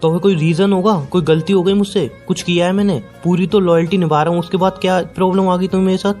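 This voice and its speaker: A man's voice: sits high, level tone, fast, darkish tone, soft background music, close to an everyday voice. A young man playing a hurt, incredulous boyfriend in an audio call drama, pressing and pleading in an exasperated way. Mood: confused, pleading. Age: young adult. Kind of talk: acted phone-call drama in conversational Hindi with some English.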